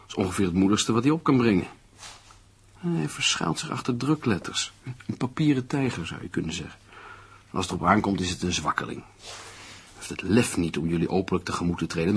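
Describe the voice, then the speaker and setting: An actor playing a glib, argumentative friend who reasons and needles in a Dutch radio drama. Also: male voice, lowish voice, lively intonation, fairly quick, husky, exaggerated voice. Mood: scornful, persuasive. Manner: argumentative, theatrical, persuasive. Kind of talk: Dutch radio play acting.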